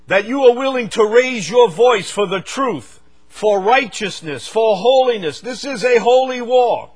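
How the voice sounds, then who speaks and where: An older man from the United States preaching a sermon, swinging from loud, fervent stretches to quiet asides. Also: very high voice, animated, measured pace, breathy edge.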